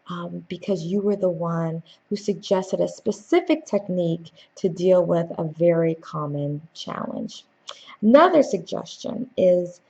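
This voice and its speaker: A woman giving an instructional talk as a corporate trainer, with a husky, mellow tone that often dips into vocal fry. She is conversational and emphatic, speaking straight to the listener. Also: mid-range voice, dull audio. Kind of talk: instructional tips presentation to camera.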